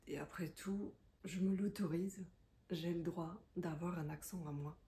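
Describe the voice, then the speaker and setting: Casting note: low-ish, warm woman, non-native speaker, reflective and hesitant. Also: steady tone, a little slow, slight background noise. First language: not French. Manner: hesitant, many fillers and restarts; thoughtful and confiding. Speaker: content creator, self-employed. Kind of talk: reflective talking-head monologue.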